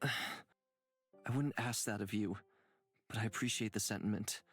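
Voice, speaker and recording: An actor playing a gentle, reflective man weighed down by guilt, speaking in a measured, earnest way for a visual novel game. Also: lowish voice, slightly rough, faint music behind. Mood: tender.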